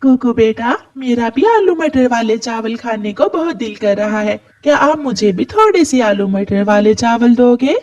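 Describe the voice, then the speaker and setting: A kindly mother bird from a children's cartoon , played by a woman. She speaks slowly and with lots of melodic movement, like a patient auntie talking to little ones. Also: fairly high pitch, lively intonation, darkish tone, dull audio. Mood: coaxing. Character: kindly adult female birds, motherly aunties. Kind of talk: children's cartoon story character voices.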